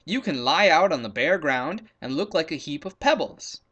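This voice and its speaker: A man voicing a practical, bossy hunter in a children's storybook, brisk and instructive. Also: on the high side, lively intonation, bright, forceful tone. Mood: pleased, brisk. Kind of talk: storybook character voice in a read-aloud.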